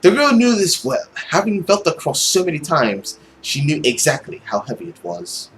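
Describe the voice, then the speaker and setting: A young man reading a visual novel aloud as a gaming host, expressive and theatrical, recorded at home. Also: a little high, moderate pace, a little hiss or hum, low-quality recording. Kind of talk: dramatic read-aloud narration with reactions.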